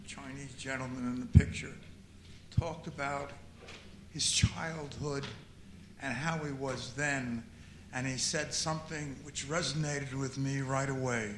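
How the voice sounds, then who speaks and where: A man's voice: medium pitch, steady tone, measured pace. An older man in the audience of a screening Q&A, giving a reflective comment and often repeating words as he searches for them. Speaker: audience member. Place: auditorium after screening. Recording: echoey hall with faint noise.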